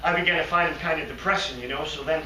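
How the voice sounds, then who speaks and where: Naive daydreaming hotel receptionist for a comic stage monologue, male performer: high-pitched, very sharp voice, halting and rambling. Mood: wistful, musing. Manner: halting, rambling, hesitant then excitable. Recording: roomy, distant mic, faint noise.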